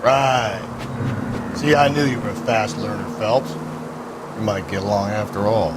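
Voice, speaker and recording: A man playing a gruff, cynical middle-aged homicide detective with an American accent in a 1940s crime game, terse and condescending. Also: lowish voice, steady tone, a little slow, very noisy, close to an everyday voice. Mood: grudging, patronizing. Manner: terse, condescending, world-weary.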